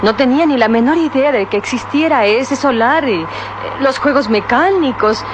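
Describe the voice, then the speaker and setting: An actress playing a frightened young heiress in a radio drama, flustered and defensive. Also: female voice, high voice, normal speed, highs cut off, natural voice. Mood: worried. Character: frightened young rich heiress, nervous and indignant. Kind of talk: 1960s radio drama acting. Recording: noisy old radio drama recording.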